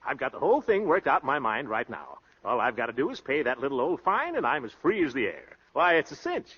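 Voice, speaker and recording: A man's voice: medium pitch, wildly swooping pitch, quick pace, bright, forceful tone, slightly rough, heavily acted. An American man playing a cocky, glib, slangy small-time blowhard of a husband in a 1930s radio comedy. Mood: breezy reassurance. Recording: muffled 1930s radio broadcast recording.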